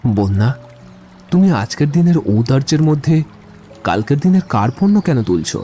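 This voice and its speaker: A man's voice: medium pitch, very animated, moderate pace, dark tone, soft background music, lightly acted. A man playing an eloquent young man in love in a literary audio drama, speaking in lyrical, wordy speeches. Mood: earnest pleading.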